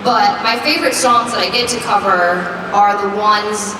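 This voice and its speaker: Young woman, chatty, upbeat, filler-heavy, distant mic, loud background noise. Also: medium pitch, moderate pace. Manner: upbeat and chatty, many "like" fillers, laughs often. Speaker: musician interviewee. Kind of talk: casual interview answers. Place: busy convention floor. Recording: echoey convention hall from far off, loud crowd noise.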